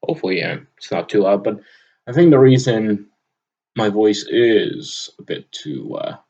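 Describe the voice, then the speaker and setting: A young man from Vermont with a loud, booming voice, talking off the cuff in an unscripted audio log, rambling with lots of filler words. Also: lively intonation, unhurried, breathy edge, dull audio. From Vermont, USA. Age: young adult. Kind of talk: unscripted casual monologue. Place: at his desk, indoors. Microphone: computer microphone on a desk.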